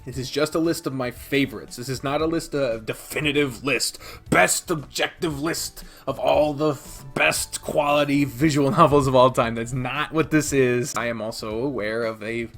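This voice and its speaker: A man's voice: mid-range voice, steady tone, moderate pace, hardly any pauses, fairly bright tone, faint music behind. A man giving casual, opinionated countdown commentary in a sardonic, sweary way, often interrupting himself like a reviewer talking to his audience. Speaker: video reviewer and podcast host.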